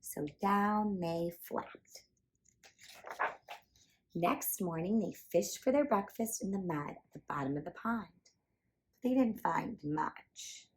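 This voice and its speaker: A woman reading a children's picture book aloud as a storyteller, in an animated, emphatic way meant for young listeners. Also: mid-range voice, slow pace, pauses often, poor audio.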